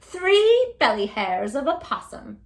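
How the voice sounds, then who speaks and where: A woman doing a shrill, screeching old witch. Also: very animated, slow, exaggerated voice. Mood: reading eagerly. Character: greedy excitable old witch, female.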